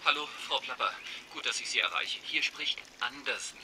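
A man's voice: medium pitch, normal speed, noisy background. A man playing a fairy-tale author who speaks politely and urgently over a telephone in a children's radio play. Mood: anxious, polite. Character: famous fairy-tale author phoning anxiously for help.

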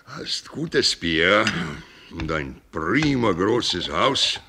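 An actor playing an old, jovial sea captain in a radio play, rambling and halting, peppering his talk with English words. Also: low-pitched, very animated, background noise, heavily acted. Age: older. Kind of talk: German radio play acting.

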